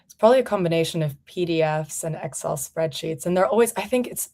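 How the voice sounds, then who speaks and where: A woman's voice: deep voice, quick pace, creaky, warm tone. A woman in her thirties from Portugal, a company founder answering interview questions in a reflective, conversational way. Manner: reflective, conversational, frequent "you know" fillers. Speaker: founder and CEO, guest.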